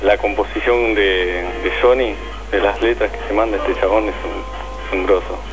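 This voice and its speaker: A man's voice: lowish voice, fairly even intonation, normal speed, rough recording. A man with an Argentine accent calling in to a radio show, chatting casually and somewhat hesitantly. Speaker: phone-in caller. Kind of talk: casual chat. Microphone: telephone call. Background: clear music.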